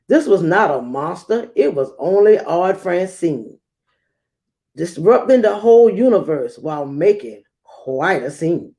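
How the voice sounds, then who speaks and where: A woman reading a rhyming children's picture book aloud, acting it out with theatrical emphasis and dramatic pauses. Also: fairly low pitch, dark tone. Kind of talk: expressive rhyming storybook read-aloud.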